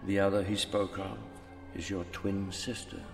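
An actor playing a grave old mentor's spirit in a radio drama, speaking in a measured, formal, admonishing way with ghostly reverb. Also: male voice, deep voice, little pitch movement, creaky. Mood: grave, reflective. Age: older. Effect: long ghostly reverb. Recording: long reverb, music behind.

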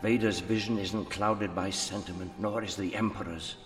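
A solemn, older mentor returned as a spirit in a radio play. His low voice is patient, formal and admonishing. It trails long ghostly reverb, with music swelling behind it. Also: fairly even intonation, normal speed, a bit bright. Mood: stern warning. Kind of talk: radio drama acting.

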